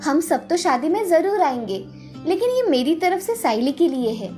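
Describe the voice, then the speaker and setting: A woman's voice: very high voice, sing-song, moderate pace, warm tone, soft background music. A woman playing a kind, affectionate, generous aunt in a cartoon story.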